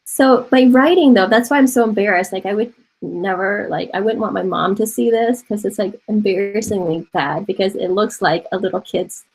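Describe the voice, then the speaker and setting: A woman's voice: medium pitch, moderate pace, darkish tone, breathy edge, poor audio. A woman in her forties from Taiwan, a native Mandarin speaker, teaching an online college art class from home in a chatty, encouraging way, often laughing and thinking aloud. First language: Mandarin Chinese. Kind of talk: casual online class instruction. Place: home room with bookshelves. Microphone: webcam on a video call.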